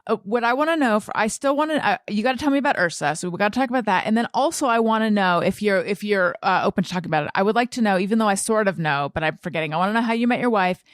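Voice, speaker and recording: A woman's voice: mid-range voice, lively intonation, rapid-fire, hardly any pauses, no room sound, clean studio sound. A woman hosting a casual interview, informal and thinking aloud as she rephrases her questions. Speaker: podcast host interviewing a guest. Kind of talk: casual chat.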